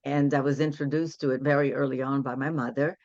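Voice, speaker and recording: A woman's voice: rumbling low, steady tone, fast, a little mellow. An older woman from Brazil speaks English. She talks about her path in an earnest, reflective way. The audio is dull and lo-fi, with a dead, dry sound and no room echo. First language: Portuguese. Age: 60s. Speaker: guest, women's empowerment coach. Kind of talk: casual interview storytelling.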